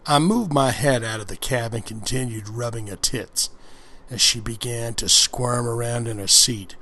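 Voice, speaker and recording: A man's voice: mid-range voice, moderate pace, pushed and bright. A man doing a casual ASMR-style book reading, breaking off into crude, slangy, folksy asides. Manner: crude, slangy, folksy commentary between passages. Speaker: host reading an erotic book aloud.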